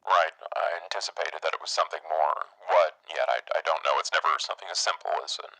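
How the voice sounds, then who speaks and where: A man playing a big, socially awkward vampire in an improvised roleplay, speaking formally and earnestly with halting phrasing. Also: very deep voice, steady tone, sharp tone, no room sound, exaggerated voice, rough recording. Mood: measured. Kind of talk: improvised tabletop roleplay, phone conversation.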